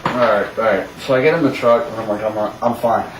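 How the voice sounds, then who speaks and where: A man's voice: lowish voice, fast. Echoey, distant man telling casual stories. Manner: rambling, jokey, informal. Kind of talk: casual storytelling among friends. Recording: echoey, noisy room, far from mic.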